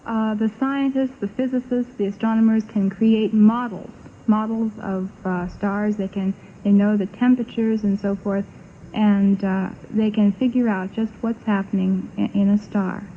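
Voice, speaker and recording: A woman being interviewed on 1960s radio, explaining things in a halting, instructive way. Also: mid-range voice, steady tone. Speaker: program guest explaining astronomy. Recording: phone-like, faintly noisy 1960s radio recording.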